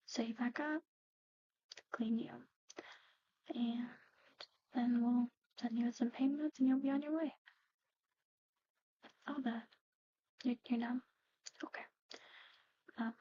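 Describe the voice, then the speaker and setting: A woman performing a whispered ASMR medical roleplay, explaining procedures softly in an unhurried, breathy voice on a slightly muffled recording. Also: a little high. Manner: hushed, unhurried, gently explaining.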